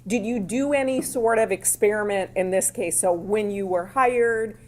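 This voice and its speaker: Woman attorney, loud voice, mid-range pitch, plainspoken, conversational questioning, quick follow-ups. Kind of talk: courtroom questioning.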